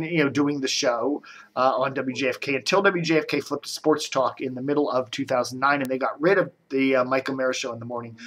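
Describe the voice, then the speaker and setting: A man's voice: on the high side, fairly bright tone. A man hosting a casual web show on local radio and TV, chatting off the cuff, often breaking off to think aloud.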